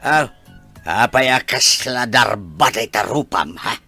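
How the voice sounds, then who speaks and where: A man playing a gruff, scolding old husband and father in an audio drama, with an Ilocano Filipino accent. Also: mid-range voice, animated, slow, bright, forceful tone, soft background music, full character voice. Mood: scornful.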